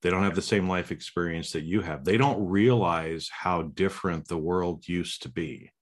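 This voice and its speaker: A man's voice: sits low, normal speed. A middle-aged American man hosting an interview, talking loosely with lots of restarts and "right?" tags. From United States. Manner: rambling, many restarts and tag questions. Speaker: podcast host. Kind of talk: casual interview chat.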